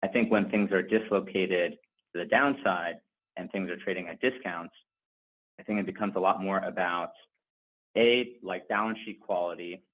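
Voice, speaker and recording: A man's voice: low voice, normal speed. A male company executive giving unscripted answers on an earnings conference call. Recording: narrow, phone-like conference call line.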